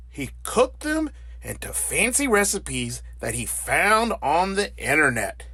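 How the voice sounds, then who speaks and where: A man narrating a children's picture book as an animated read-aloud. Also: sits high, slightly rough, no room sound. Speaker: storybook narrator.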